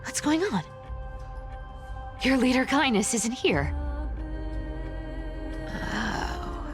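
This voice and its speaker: A woman voicing a sly, smug trickster in a video game, theatrical and teasing, with a young adult sound. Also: fairly high pitch. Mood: puzzled, mildly annoyed. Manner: teasing, mock-sweet, chuckling asides. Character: sly, smug, playful female trickster with ancient secrets. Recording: music behind the voice. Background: game music.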